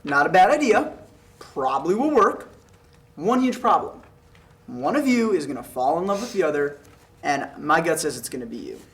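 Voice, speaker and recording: A man's voice: a little high, big pitch swings, normal speed. A young man acting a blunt, sarcastic college buddy in a table read, with joking, slangy delivery. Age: young adult. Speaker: actor at a script table read. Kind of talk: casual dialogue read aloud from a script. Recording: noisy room recording.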